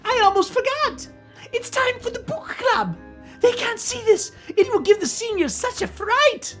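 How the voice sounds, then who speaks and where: A squeaky storybook librarian lady, acted out by a man, with an extremely high voice. She is polite and fussy, with an eerie oddness, and her pitch swoops about. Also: big pitch swings, a little slow, fairly bright tone, soft background music, full character voice. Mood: flustered.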